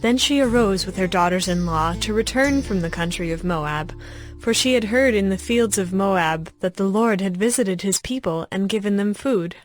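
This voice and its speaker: A woman narrating scripture as a scripted audio story, with measured, clear phrasing. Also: medium pitch. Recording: background music under the voice.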